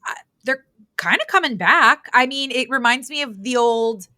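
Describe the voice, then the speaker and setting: A woman in her thirties, a guest on a podcast, chatting casually in a loud, animated way and laughing a lot. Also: on the high side, normal speed, no echo, studio quality. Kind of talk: casual chat.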